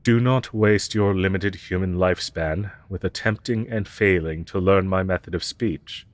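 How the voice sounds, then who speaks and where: A man's voice: low voice, lightly acted. A man playing a haughty, ancient dragon professor in a roleplay audio, speaking in archaic, formal and condescending language. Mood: mocking superiority. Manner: pompous, archaic, formal diction; disdainful and deliberate. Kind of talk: roleplay audio drama, scripted monologue.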